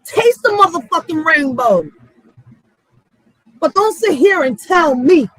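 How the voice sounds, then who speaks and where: A woman's voice: very high-pitched, expressive, takes it slowly, a bit bright, no echo, poor audio. A woman giving a heated, profane casual monologue, blunt and emphatic, using street slang and repeating phrases for stress. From Puerto Rico or Puerto Rican background. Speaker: channel host.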